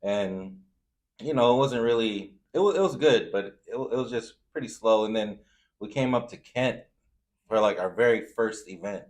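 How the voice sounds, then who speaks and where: A man's voice: on the low side, normal speed, a little mellow. A man from Zambia in a casual interview, telling personal anecdotes in an informal, slangy way. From Zambia, moved to the US. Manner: informal, slangy, frequent "you know". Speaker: food truck owner, interview guest.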